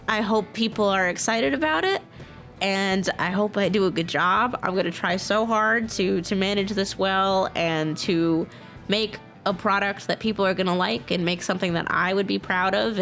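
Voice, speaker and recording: A woman talking casually and earnestly to her viewers, excited and informal in manner, as a video creator. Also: mid-range voice. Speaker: video creator and game modder. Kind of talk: casual, personal talk to viewers.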